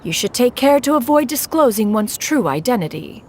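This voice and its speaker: An actress playing a haughty, ancient immortal in a video game, who speaks in formal, archaic, imperious diction. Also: female voice, fairly high pitch, sing-song, normal speed, darkish tone, slight background noise. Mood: composed, stately. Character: haughty ancient crane immortal posing as an elegant woman. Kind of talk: scripted video game voice acting.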